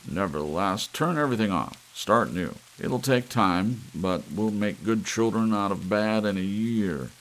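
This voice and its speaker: A man's voice: on the low side, animated, a little slow, few pauses, voice pushed up. A man voicing a blunt, grave psychologist who warns emphatically, in an audiobook story with rain behind. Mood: stern, urgent. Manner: emphatic, admonishing. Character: blunt, grave male psychologist warning a friend. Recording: audible hiss with rain-like noise.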